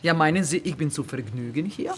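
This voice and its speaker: A desperate woman survivor in a radio drama, insistent and aggrieved. Her pitch swings in a lively way, over clearly audible background noise. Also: brisk. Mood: indignant. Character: desperate, impatient female camp survivor waiting in a queue. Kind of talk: German radio play acting.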